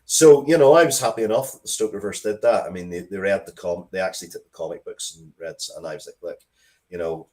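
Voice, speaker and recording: Low-voiced man in thoughtful interview chat. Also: a little mellow. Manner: halting, thoughtful, repeats words as he goes. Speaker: interview guest, writer of Dracula comics and media. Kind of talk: casual interview conversation.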